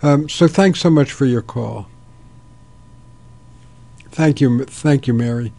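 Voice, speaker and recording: Genial older male radio host, gravelly. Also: medium pitch, slow pace, warm tone. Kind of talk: radio talk show.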